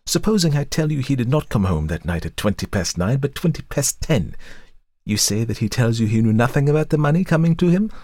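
A man voicing a calm, cold foreign woman in an audiobook, quiet and deliberate, with hatred smoldering underneath. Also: medium pitch, slightly rough, dry recording, studio quality, pitched above the performer's own voice. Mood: vehement hatred. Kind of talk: audiobook character voice.

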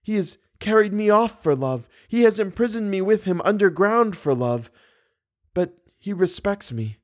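A man's voice: sits high, expressive, moderate pace, pitched above the performer's own voice. A man reading an audiobook, voicing a frightened, earnest and passionate young woman. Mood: impassioned, horrified. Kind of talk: audiobook character dialogue.

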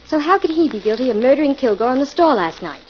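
A sleuth's plucky female sidekick in a 1940s radio play. Her voice is on the high side, crisp and questioning, on a muffled recording with faint noise. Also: very animated, fast talker. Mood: inquisitive. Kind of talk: 1940s radio drama acting.

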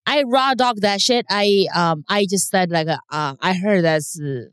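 A woman's voice: mid-range voice, measured pace, smooth, no room sound, clean studio sound. A woman in her thirties from China with a Chinese accent, a comedian talking frankly on a podcast with many hesitations. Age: 30s. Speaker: comedian guest. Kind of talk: casual podcast chat.

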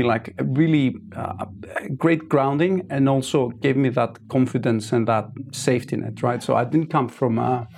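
A man, a startup founder giving measured, thoughtful interview answers with frequent restarts. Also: medium pitch, darkish tone. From Greece.

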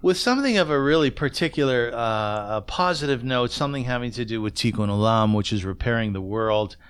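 A man's voice: medium pitch, lively intonation, fairly quick, clear, resonant tone. A man hosting a conversational podcast interview, speaking earnestly and often checking for agreement.

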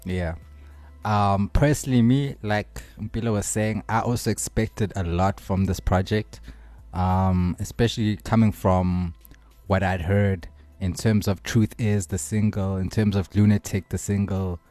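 A man's voice: on the low side, steady tone, a little slow, a little mellow, no room sound, soft background music. A young man with a South African accent co-hosting a casual chat, using slangy, friendly talk. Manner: slangy, addresses friends as "bruh" and "dog". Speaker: podcast co-host.

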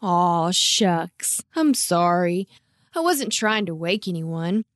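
A woman's voice: on the low side, animated, slow, no echo, clean studio sound. A woman voicing a mopey but sweet-natured male hound in a children's storybook, bashful, folksy and apologetic. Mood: bashful, wistful.

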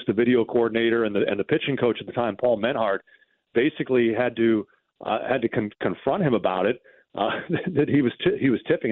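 A man's voice: mid-range voice, no echo. Man on a thin, phone-like line. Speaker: baseball broadcaster as interview guest. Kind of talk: conversational sports reminiscing.

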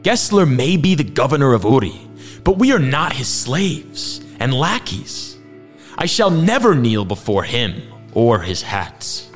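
A man's voice: medium pitch, sing-song, measured pace, faint music behind, pitched above the performer's own voice. A man voicing a brave, defiant father in a storybook, speaking in a firm, declamatory way. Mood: indignant.

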